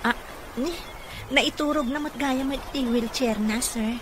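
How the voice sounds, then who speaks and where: A woman's voice: sits high, a little slow. A woman playing a kind, concerned caregiver in an Ilocano radio comedy-drama. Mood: concerned, respectful. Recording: noisy radio drama mix.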